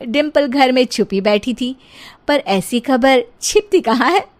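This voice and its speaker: A woman's voice: high voice, lively intonation, a little slow. A woman narrator telling a scripted comic family tale. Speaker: story narrator.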